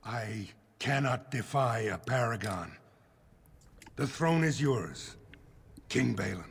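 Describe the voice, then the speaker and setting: A man playing a weary, defeated dwarf lord in a fantasy video game, with a husky, creaky voice. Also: medium pitch, lively intonation, takes it slowly, full character voice. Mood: resigned.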